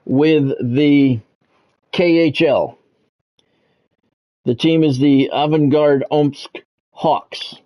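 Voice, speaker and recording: A man hosting a game livestream, talking sports to his viewers in measured, announcer-like phrases. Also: mid-range voice, darkish tone. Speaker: game streamer and sports commentator, former radio play-by-play announcer. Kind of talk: livestream monologue, sports talk to chat viewers.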